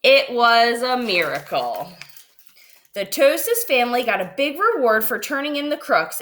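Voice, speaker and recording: A woman reading a children's picture book aloud as a storyteller, with expressive, drawn-out dramatic phrasing. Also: a little high.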